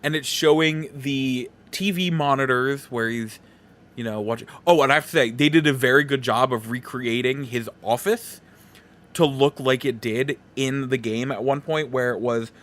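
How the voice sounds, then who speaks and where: Casting: male podcast host for a solo film review, informal and chatty, medium-pitched voice, prone to rambling asides. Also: moderate pace, a bit bright. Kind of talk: casual solo movie review talk.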